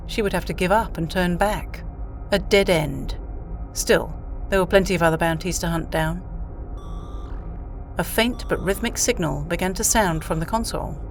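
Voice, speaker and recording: A woman reading scripted story narration for an audio play. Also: lowish voice. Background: music.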